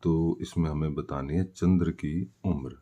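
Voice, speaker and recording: Male tutor, slow and mellow delivery, walking through worked solutions with self-answered questions. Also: very deep voice, fairly even intonation. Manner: explanatory, poses questions and answers them himself. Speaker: maths teacher. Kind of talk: step-by-step worked-solution lesson.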